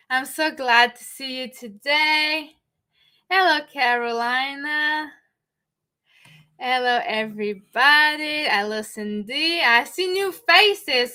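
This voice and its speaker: A woman's voice: high-pitched, sharp tone. A woman from Montreal with a Québécois French accent, French her first language, hosting a live stream and greeting viewers while she searches for words now and then. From Montreal, Canada. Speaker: host.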